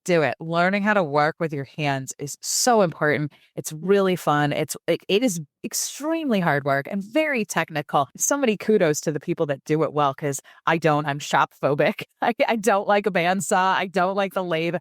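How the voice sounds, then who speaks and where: A woman's voice: lowish voice, lively intonation, normal speed, hardly any pauses, no room sound, studio quality. A woman who grew up in San Francisco and Germany, a podcast guest talking casually and enthusiastically, often repeating words as she starts a phrase. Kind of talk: casual interview talk.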